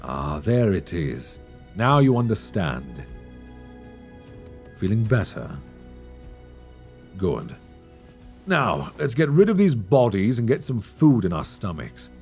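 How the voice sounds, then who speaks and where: A man playing a calm, reassuring elf adventurer in an intimate fantasy ASMR roleplay, speaking gently and soothingly close to a binaural microphone. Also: fairly low pitch, gravelly, soft background music, exaggerated voice. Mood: tender, encouraging, then brisk, upbeat. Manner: gentle, soothing, measured delivery.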